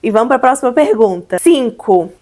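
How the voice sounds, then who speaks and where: Hoarse, congested Brazilian woman vlogging. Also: a little high, expressive, moderate pace, mellow. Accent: Brazilian Portuguese. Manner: chatty, explanatory, addresses viewers as gente. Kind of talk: casual advice vlog.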